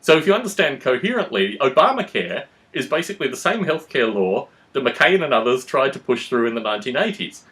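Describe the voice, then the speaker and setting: A man's voice: mid-range voice, very animated, fairly quick, few pauses, fairly bright tone. A man hosting a conversational discussion, explaining his views in a long-winded, analytical way. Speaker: podcast host.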